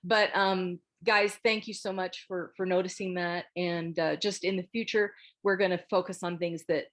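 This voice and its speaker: A woman's voice: fairly low pitch, fairly even intonation, normal speed, fairly bright tone. A woman hosting a casual group discussion over a video call, politely directing the others. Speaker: host moderating a script table read.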